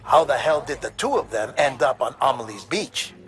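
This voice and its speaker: An earnest male investigator played by an actor in a dramatic video game, with a medium-pitched voice. He briefs a colleague in a troubled, conspiratorial way, probing each theory with questions. Light music plays underneath. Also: animated, normal speed, a bit bright, natural voice. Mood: puzzled, intent. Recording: music behind the voice.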